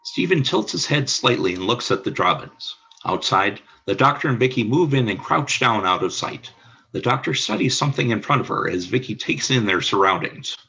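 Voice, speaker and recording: A man's voice: medium pitch, big pitch swings, normal speed, creaky, pushed and bright, slightly rough, rough recording. A man reading scripted scene narration for a radio play, describing the action in the present tense.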